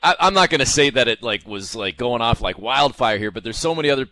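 A man's voice: medium pitch, expressive, fast talker, bright, forceful tone, no echo. Chatty Canadian man hosting a sports show, close and dry. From Toronto area, Canada. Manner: chatty, rambling, colloquial asides. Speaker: sports podcast host. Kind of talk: casual sports interview.

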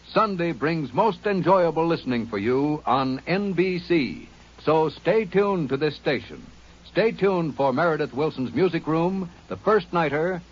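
A man reading network promotions in a smooth, persuasive 1950s announcing style. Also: a little high. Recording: muffled old radio transcription.

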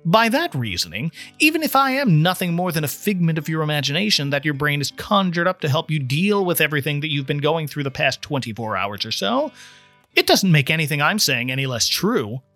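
A man's voice: fairly high pitch, very animated, brisk, pushed and bright, no room sound, faint music behind, heavily acted. A man voicing an earnest, impatient trainee guardian angel who explains things with mild condescension in a storybook reading. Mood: reasoning, condescending. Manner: explanatory, mildly condescending toward humans.

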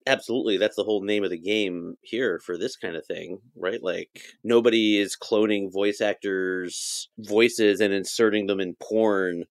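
Very deep-voiced man guest. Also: steady tone, normal speed, few pauses, dry recording, clean studio sound. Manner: emphatic, seeking agreement with "right?". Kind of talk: casual podcast discussion.

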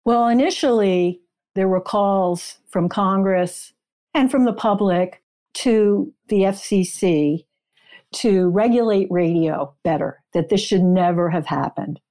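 A woman's voice: medium pitch, slow pace, mellow. A woman speaking as a guest author in a conversational interview, explaining history in an emphatic way.

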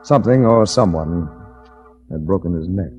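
An actor playing a wry hard-boiled investigator in a 1940s radio drama, speaking in a dry, measured, wisecracking way. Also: male voice, low voice, lively intonation, loud music behind the voice. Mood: grim, measured narration. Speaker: lead actor and narrator. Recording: dull 1940s radio recording.

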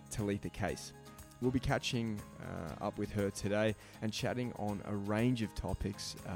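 A man hosting a casual interview, chatty and relaxed with frequent fillers. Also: lowish voice, creaky. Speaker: podcast host.

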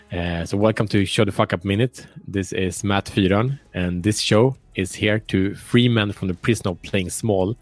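A man's voice: low voice, no room sound. A man hosting a motivational interview show, speaking earnestly and repeating words for emphasis. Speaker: podcast host interviewing a guest.

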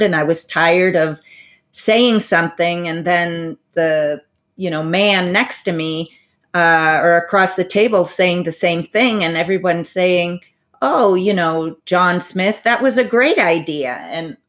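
Low-voiced woman, thoughtful and measured. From United States, parents from Bolivia. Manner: thoughtful, measured, with frequent "you know" asides. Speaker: financial advisor, interview guest. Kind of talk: reflective interview answers.

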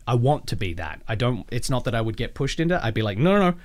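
A man chatting casually as a podcast guest and voice actor, animated and playful, often acting out his own asides. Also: mid-range voice, quick pace. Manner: animated, self-quoting, playfully profane. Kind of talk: casual interview chat with acted-out asides.

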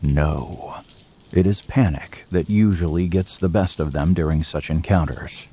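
Low-voiced male dramatic narrator. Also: mellow, breathy edge. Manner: measured, literary, serious.

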